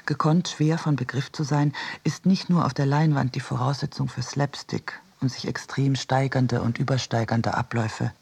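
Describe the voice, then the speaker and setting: A man reading a scripted literary essay for radio in a measured, deliberate manner. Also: mid-range voice, fairly even intonation, creaky, slightly rough. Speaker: reader in a radio production.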